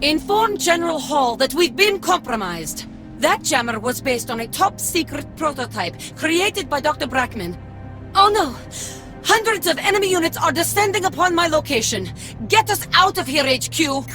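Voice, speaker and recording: A woman's voice: high voice, very animated, normal speed, over music. A woman playing a disciplined military mech commander in a video game, giving formal, clipped radio reports. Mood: urgent, firm, then alarmed, desperate.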